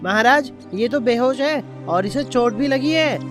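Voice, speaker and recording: A man's voice: extremely high pitch, lively intonation, measured pace, music in the background. A man playing a loyal, respectful royal minister who speaks formally in a cartoon story. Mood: concerned.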